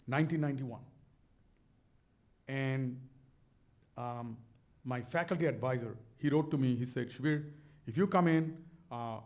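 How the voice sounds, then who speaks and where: A middle-aged man with a Bangladeshi accent, telling a motivational story in an earnest, emphatic way, often repeating words. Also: mid-range voice. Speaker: speaker on a stage talk.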